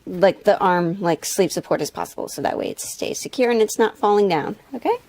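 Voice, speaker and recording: A woman's voice: mid-range voice, expressive, a little fast, darkish tone. A woman talking casually and informally as she walks through a craft tutorial, like a vlogger. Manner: chatty, informal, mild swearing. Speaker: sewing vlogger. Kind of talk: casual craft tutorial chat with voice-over narration.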